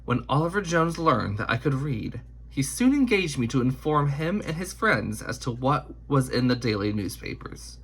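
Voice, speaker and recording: A male narrator reads as an earnest man from history looking back on his youth in a storybook. The voice is husky and slightly gravelly, and the delivery is deliberate and reflective. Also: medium pitch, sing-song.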